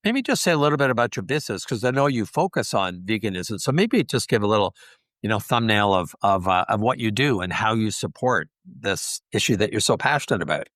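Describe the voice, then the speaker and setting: A man hosting a podcast interview, reflective and affirming, pausing with small hesitations. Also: fairly low pitch, expressive, a bit bright, dry recording, studio quality. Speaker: podcast host. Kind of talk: casual interview talk.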